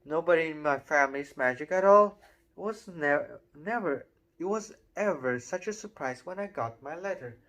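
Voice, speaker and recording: A bossy know-it-all schoolgirl who talks over everyone in a raised, lively voice, as a man puts her on. She chatters in a rush without pausing. It sounds like a storybook character read aloud. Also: a little high.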